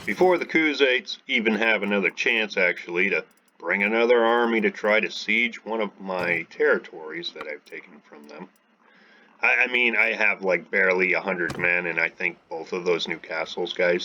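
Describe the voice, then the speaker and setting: A man giving excited live commentary over his gameplay, talking to his viewers and shouting during fights. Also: fairly low pitch, lo-fi sound, slight background noise. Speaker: gaming channel host. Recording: game music behind voice.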